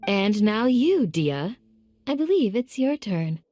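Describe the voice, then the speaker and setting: A woman voicing a young village guardian in a game, calm, courteous and firm, with polite, measured phrasing. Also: medium pitch, faint music behind. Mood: composed.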